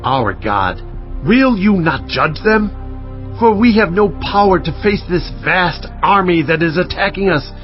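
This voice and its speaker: Devout ancient king, declamatory and earnest, higher male voice, slightly muffled audio over music. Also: expressive, measured pace. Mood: anguished appeal. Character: pleading, devout king of Judah praying before his people. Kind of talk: dramatized audiobook acting.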